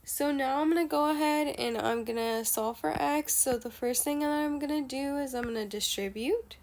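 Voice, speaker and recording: Young female teacher, a bit stuffy and nasal, higher voice, patient walkthrough with fillers. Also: moderate pace, a little mellow, a little hiss or hum. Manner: patient step-by-step explaining, many fillers. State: nasal from allergies. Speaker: math teacher. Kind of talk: explaining a math lesson.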